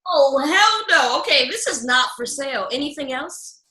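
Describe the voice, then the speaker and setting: A woman's voice: high-pitched, animated, normal speed, pushed and bright, roomy sound. A woman playing a headstrong, naive young fairy-tale girl with exaggerated modern sass in a comic radio play. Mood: sassy.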